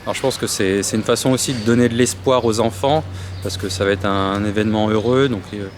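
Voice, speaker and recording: Low-voiced man speaking earnestly outdoors. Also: fairly even intonation, fast. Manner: earnest, explanatory, with hesitations. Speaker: charity association representative. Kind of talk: interview statement. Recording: outdoor street noise.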